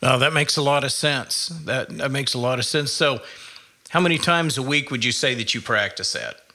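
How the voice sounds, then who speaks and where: A man's voice: medium pitch, moderate pace, pushed and bright. A man hosting a podcast interview, asking conversational follow-up questions. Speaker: podcast host. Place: studio.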